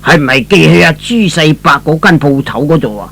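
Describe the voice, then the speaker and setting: A man playing a bitter, irate old father in a radio drama, scolding emphatically in a husky voice. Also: on the high side, lively intonation, brisk, a bit bright, heavily acted. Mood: accusing. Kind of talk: Cantonese radio drama acting.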